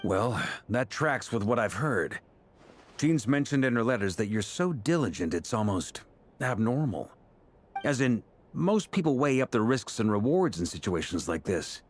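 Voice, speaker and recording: An actor playing a steady, commanding knight grand master in a video game, speaking with measured authority. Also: male voice, medium pitch, slight background noise, lightly acted. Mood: earnest, concerned.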